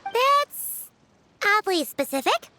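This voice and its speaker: A woman's voice: extremely high pitch, big pitch swings, slow pace, pushed and bright, slight background noise, heavily acted. A woman voicing a tiny, chirpy female floating companion creature, bubbly and childlike. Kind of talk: video game voice acting. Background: game music.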